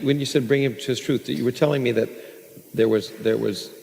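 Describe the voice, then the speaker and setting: A male interviewer with a gravelly, mid-pitched voice asks his questions on stage, chatty and often tripping over the start of a sentence. His voice rings in a long hall reverb with faint noise beneath it. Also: fairly even intonation, fairly quick, a little mellow. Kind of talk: onstage interview.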